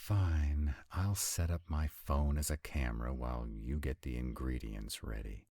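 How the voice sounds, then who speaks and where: A man's voice: extremely low pitch, unhurried, warm tone, no room sound, clean studio sound, full character voice. A man playing a grumpy, sardonic vampire in a scripted roleplay audio drama, sighing and exasperated in his delivery. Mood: puzzled, proud. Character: grumpy male vampire mate, sardonic and proud.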